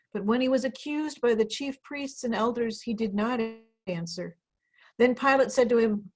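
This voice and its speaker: A woman pastor giving a dramatic reading of scripture over a video call. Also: on the high side. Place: indoor room, on a video call.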